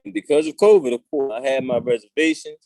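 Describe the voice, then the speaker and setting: A middle-aged man hosting a casual talk show over a video call, relaxed and chatty with lots of fillers. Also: medium pitch, fast, no echo. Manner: relaxed, conversational, many fillers. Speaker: show host.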